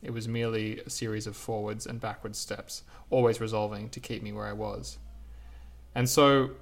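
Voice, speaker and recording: A man reading his own reflective essay aloud in a measured, lyrical, unhurried way. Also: fairly low pitch, level tone, vocal fry.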